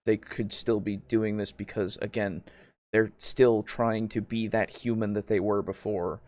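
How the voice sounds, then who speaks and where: A man hosting a podcast in casual group discussion, animated and swearing freely. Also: on the low side, measured pace. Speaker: podcast host.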